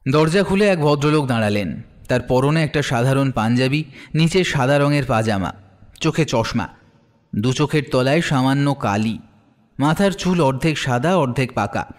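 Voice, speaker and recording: A man's voice: mid-range voice, animated, normal speed. A man narrating a detective story in the first person, in a scripted audio drama style. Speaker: narrator, telling it as the detective's companion. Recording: long reverb, faint music underneath.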